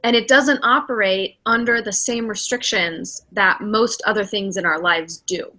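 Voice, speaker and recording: A woman's voice: mid-range voice, measured pace, pushed and bright. A middle-aged woman, a spiritual director hosting a casual podcast chat, reflective and acting out her own inner thoughts as she talks.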